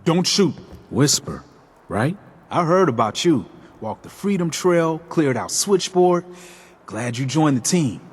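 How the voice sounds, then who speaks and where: A man playing a friendly, chatty safe-house agent in a video game, breezy and informal, with a slightly husky voice. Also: on the high side, big pitch swings, takes it slowly, in a large hall, full character voice. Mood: nervous, admiring. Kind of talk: video game character dialogue.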